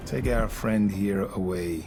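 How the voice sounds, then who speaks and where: An actor playing a stern, ambitious vice overseer of a religious order in a video game, measured and politely menacing. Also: male voice, fairly low pitch, background noise. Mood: calculating, grandiose. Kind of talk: dramatic video game dialogue.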